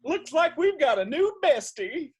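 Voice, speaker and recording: Woman as gushy flamingo bestie, high voice, swoops all over, silly cartoon radio comedy. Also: very animated, measured pace, a little mellow. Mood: delighted. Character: giddy, gushing, clingy flamingo best friend. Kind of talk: comedy radio table read.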